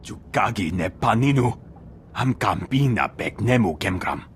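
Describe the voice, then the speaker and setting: A man's voice: fairly low pitch, animated, a little slow, slight background noise. A man voicing a solemn alien cult member in a video game, declaiming in an invented tongue. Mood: solemn, declamatory. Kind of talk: video game cutscene acting.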